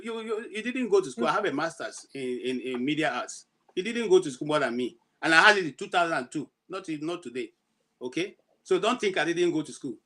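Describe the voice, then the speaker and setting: A man's voice: fairly high pitch, sharp tone. A middle-aged Nigerian man with an Igbo accent, arguing insistently on a panel and slipping into pidgin. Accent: Nigerian Igbo English. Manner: insistent, argumentative, mixes in pidgin. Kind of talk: heated panel discussion.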